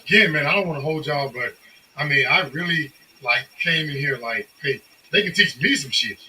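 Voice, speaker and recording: A man's voice: a little high, lively intonation, normal speed, poor audio. A man talking in a casual chat, slangy and enthusiastic and full of admiration. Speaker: guest interviewer. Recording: bright sound with faint background noise.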